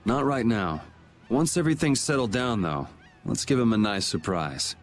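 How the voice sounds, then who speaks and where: A mid-pitched young hero from a video game, acted by a man. He sounds casual yet resolute, with music faintly behind. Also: expressive, moderate pace, natural voice. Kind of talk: English video game voice acting.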